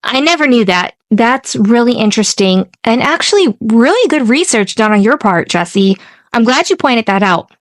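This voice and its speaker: A woman's voice: fairly high pitch, big pitch swings, moderate pace, no echo. A middle-aged woman co-hosting a casual podcast, effusive and emphatic, often repeating herself for stress. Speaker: podcast co-host reacting to the story.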